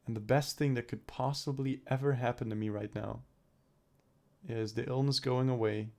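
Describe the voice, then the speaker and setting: Gravelly young man rambling in a vlog. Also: medium pitch, steady tone, moderate pace, darkish tone. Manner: rambling, restarts and swears, thinking aloud. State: recovering from a cold, throat clearing up. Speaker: vlogger. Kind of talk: personal video diary.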